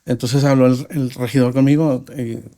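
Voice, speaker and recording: A man from Mexico with a Mexican accent, a podcast guest telling his life story in a reflective, halting way with frequent pauses. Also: mid-range voice, steady tone, mellow. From Mexico (Nuevo Laredo). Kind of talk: personal testimony in a podcast interview.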